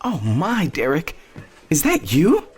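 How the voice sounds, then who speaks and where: A man's voice: on the high side, wildly swooping pitch, slow pace, breathy edge, pitched above the performer's own voice, heavily acted. A man voicing a kindly, affectionate older small-town woman who works at a hospital, in a light, motherly, fussing manner for an audio story. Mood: warm, fussing.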